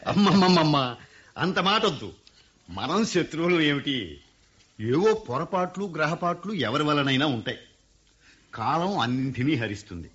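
An actor playing a smooth-talking, flattering businessman in a radio play. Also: on the high side, moderate pace, long pauses. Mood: persuasive. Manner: flattering, persuasive. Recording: dull lo-fi radio recording.

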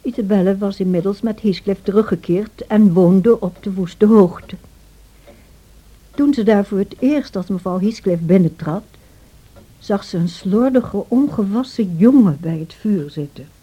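An actress playing a devoted, troubled housekeeper who tells a tragic story in a radio drama, in a measured, confiding way. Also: female voice, medium pitch, warm tone, slightly rough. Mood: sombre storytelling. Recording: dull old radio play recording.

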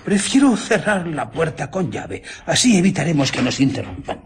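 An actor playing a nervous, fussy village gentleman in a radio drama, halting and easily frightened. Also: on the high side, sing-song, noisy background. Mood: uneasy.

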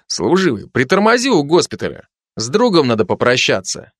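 A man's voice: mid-range voice, huge pitch swings, dry recording, studio quality. A man voicing a cheerful young lieutenant who jokes with soldiers and nurses. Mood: brisk. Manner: brisk, friendly.

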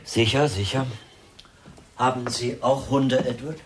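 A man's voice: mid-range voice, fairly even intonation, measured pace, close to an everyday voice. An actor playing a suspicious, coercive man in a German radio crime drama, outwardly polite and controlled, with a falsely soothing, commanding manner. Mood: probing, polite. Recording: clearly noisy radio drama recording.

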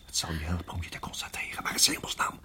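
A man acting in a radio play as a protective husband, speaking in a halting, urgent hush. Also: lightly acted. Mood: tense, hushed. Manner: hushed, halting, urgent whispering. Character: tense, protective husband guiding his endangered wife.

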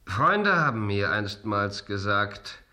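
An actor playing a proud, embattled, sardonic playwright in a radio drama, declamatory and biting. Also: male voice, on the low side, sing-song, takes it slowly, bright, forceful tone, heavily acted. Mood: bitter mockery. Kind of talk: theatrical radio drama acting.

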